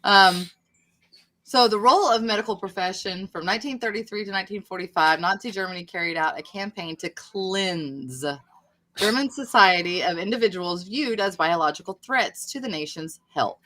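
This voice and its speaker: A woman speaks at a middling pitch in a casual, conversational way. She sounds like someone chatting on an informal livestream. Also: lively intonation, normal speed, fairly bright tone. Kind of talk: casual livestream chat, at times reading text aloud.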